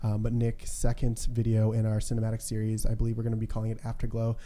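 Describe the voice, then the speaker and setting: A man's voice: lowish voice, monotone, fast talker, mellow. A young adult American man hosting a casual podcast in a conversational way. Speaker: podcast host.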